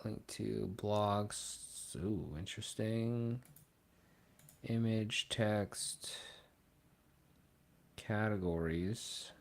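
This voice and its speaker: A young man from Pittsburgh hosting a casual livestream, thinking aloud and trailing off into low mumbles. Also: drawn-out words, vocal fry, long pauses. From Pittsburgh, Pennsylvania. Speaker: livestream host. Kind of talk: casual live co-stream chat. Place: small room at a desk.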